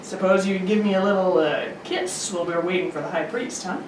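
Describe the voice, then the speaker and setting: A woman's voice: fairly low pitch, a little fast, pitched below the performer's own voice, natural voice. A woman voicing a lecherous, easily enraged bird-man king in a hammy, swaggering radio serial style. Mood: leering. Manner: exaggerated, swaggering. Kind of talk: hammy old radio serial read-through. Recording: roomy, distant mic, faint noise.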